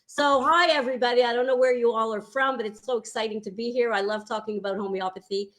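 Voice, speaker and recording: A woman's voice: a little high, steady tone, fast, a bit bright. Older Canadian woman on a video call. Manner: enthusiastic, storytelling, repeats words for emphasis. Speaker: homeopath guest. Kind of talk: casual chat.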